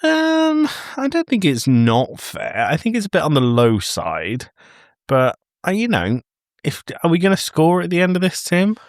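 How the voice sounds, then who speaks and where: A man's voice: on the high side, huge pitch swings, a little slow, no echo, studio quality. A man co-hosting a casual film review podcast in a jokey, blunt, emphatic way. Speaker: podcast co-host.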